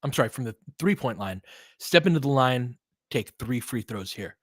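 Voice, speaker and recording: A young man calling a basketball game live for his listeners, mid-pitched and excitable. He talks to the audience between plays with quick asides and frequent laughs. His voice sounds close and dry, with no room echo. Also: husky. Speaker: fan play-by-play host. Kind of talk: live play-by-play commentary and chat.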